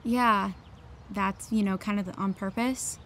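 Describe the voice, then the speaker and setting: A woman's voice: medium pitch, fairly even intonation, unhurried, a bit bright, slight background noise. An actress playing an anxious, defensive teenage girl in a naturalistic audio drama, with a sarcastic, exasperated edge. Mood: guarded, hurt.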